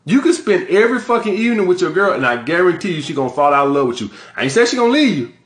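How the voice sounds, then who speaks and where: Man, blunt and swearing, dating advice, slightly high voice, roomy echo. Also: sing-song, very fast. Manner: blunt, profane, emphatic. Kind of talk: direct-to-camera advice monologue.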